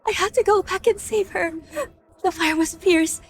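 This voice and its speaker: An actress playing a timid, bullied young daughter-in-law in a dubbed period drama, soft-spoken and earnest. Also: female voice, very high-pitched, fairly even intonation, normal speed. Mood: earnest, recounting.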